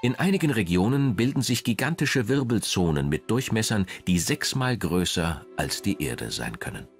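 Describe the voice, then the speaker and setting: The low, expressive male voice that guides viewers through a late-night television documentary, music underneath. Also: moderate pace. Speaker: documentary narrator. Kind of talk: scripted narration.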